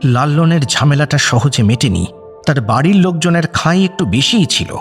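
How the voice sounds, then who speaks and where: Male audio story narrator, voice a bit high, dramatic reading, faint music under it. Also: animated, normal speed.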